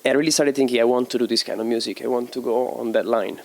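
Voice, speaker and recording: A young man from Italy, a DJ and producer answering interview questions in a halting way, often searching for words and laughing easily. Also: mid-range voice, steady tone, creaky, a little mellow. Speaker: DJ and producer guest.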